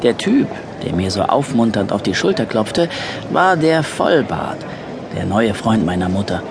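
A man's voice: lowish voice, sing-song, measured pace, gravelly, background noise, exaggerated voice. A man acting a wry, resentful young narrator looking back on boyhood in a scripted audio drama.

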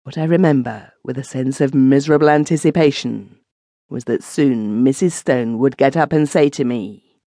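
A man reads a classic ghost story as an audiobook narrator, in a measured, literary storytelling manner. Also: medium pitch, warm tone, dry recording, studio quality.

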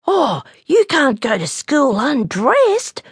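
A woman's voice: sits high, lively intonation, measured pace, fairly bright tone, no room sound, clean studio sound, pitched above the performer's own voice. A woman voicing a timid, good little boy koala bear with an anxious, wistful manner in a children's storybook.

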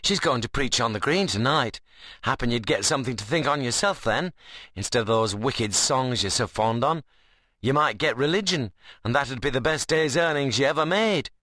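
A devout, good-humoured young rustic, played by a male narrator in an audiobook. He coaxes mildly in dialect. His voice is medium in pitch and bright, recorded dry. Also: lively intonation, normal speed, no room sound. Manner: mild, persuasive rustic dialect.

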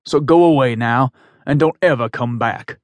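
A man's voice: medium pitch, moderate pace, dry recording, lightly acted. Storybook: male reader doing a stern protective dad, curt, dry close sound. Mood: firm. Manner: firm, curt. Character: firm protective father, middle-aged.

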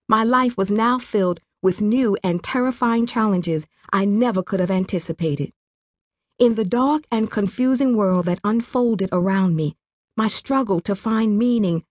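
A woman's voice: medium pitch, darkish tone, no room sound, studio quality. A woman from Rwanda reading her own memoir as scripted audiobook narration.

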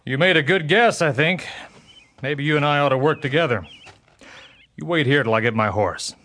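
An actor playing a steady, determined Texas Ranger with a terse, resolute manner in a Western audio drama. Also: male voice, fairly high pitch, normal speed. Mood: probing.

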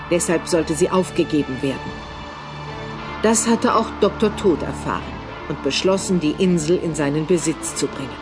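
A man reading scripted, dramatic narration for a German horror audio drama. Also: a little high. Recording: music behind the voice.

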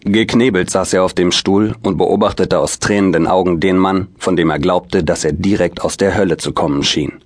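A man's voice: low voice, fairly even intonation, normal speed. A man narrating a suspense audio drama in a scripted, dramatic style.

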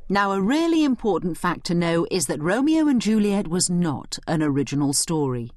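A woman giving scripted educational narration as a study guide, instructive and expressive, speaking directly to the listener. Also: medium pitch, no room sound, studio quality.

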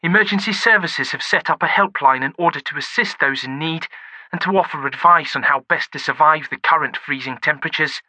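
A polite, formal female news reporter, as a male narrator puts her on, reading bulletins in a raised, very bright, sharp tone. The sound is close and dry, with no room around it. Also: fairly high pitch, fairly quick, dry recording, poor audio. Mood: formal newsreading. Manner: formal broadcast delivery. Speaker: audiobook narrator voicing a character.

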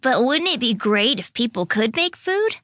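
A woman's voice: fairly high pitch, big pitch swings, unhurried, fairly bright tone, no room sound, clean studio sound, voice pushed up, full character voice. A woman reading a storybook, voicing an eager, wide-eyed seven-year-old boy. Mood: giddy excitement. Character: excitable, grinning seven-year-old boy, little brother.

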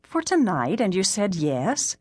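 A woman's voice: mid-range voice, huge pitch swings, a little slow, darkish tone, slightly rough, dry recording, clean studio sound, heavily acted. A woman voicing a guarded, evasive professional woman in an audiobook story, with a clipped, careful manner.

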